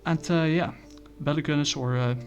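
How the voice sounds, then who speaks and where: A man casually reviewing a comic book, talking in a halting way with many fillers and restarts. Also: a little high, steady tone, highs cut off, faint music behind.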